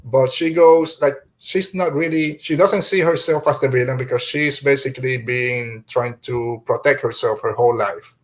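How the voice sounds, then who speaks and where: A man with a mid-pitched voice hosts a casual review discussion. He rambles a little, often backing up to reword a thought halfway through a sentence. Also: steady tone, moderate pace, few pauses. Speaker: podcast host.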